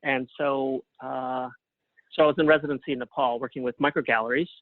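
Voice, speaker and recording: A man speaking as an interview guest in a casual, thoughtful, halting way over a tinny phone-like connection. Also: medium pitch, fairly even intonation, dry recording. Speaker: interview guest, playwright and artist. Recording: narrow tinny phone-like line.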